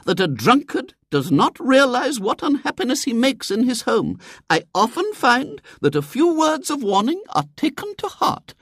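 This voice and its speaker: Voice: medium pitch, wildly swooping pitch, normal speed, husky, no room sound, clean studio sound, pitched above the performer's own voice, heavily acted. A storyteller voicing a prim elderly lady in an audiobook, earnest, preachy and fussily sympathetic. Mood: determined.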